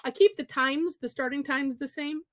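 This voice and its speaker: A woman's voice: sits high, moderate pace, a bit bright, dry recording, clean studio sound. A woman hosting an online drawing class from home on a video call, chatty and a little scattered, with frequent exclamations. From Michigan, USA. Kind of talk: casual online class chat.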